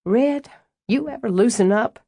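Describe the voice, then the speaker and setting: A woman's voice: on the high side, measured pace, creaky, mellow, no echo, clean studio sound, pitched above the performer's own voice. A woman doing a teasing man, easy drawl. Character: easygoing flirtatious southern man, teasing landscaper.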